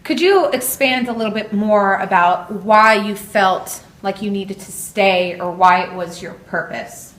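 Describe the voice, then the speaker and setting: A woman's voice: medium pitch, measured pace, hardly any pauses, fairly bright tone, lightly acted. Woman as polite lawyer in echoey room. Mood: polite, questioning. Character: female lawyer questioning her witness politely. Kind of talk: amateur mock trial questioning.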